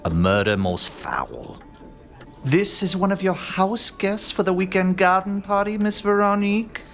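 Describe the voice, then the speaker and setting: An actor playing an aloof, pompous gentleman detective in a radio play, speaking in measured, theatrical phrases. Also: high voice, very animated, exaggerated voice. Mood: haughty. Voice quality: haughty, measured, theatrical pauses. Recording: faint music behind.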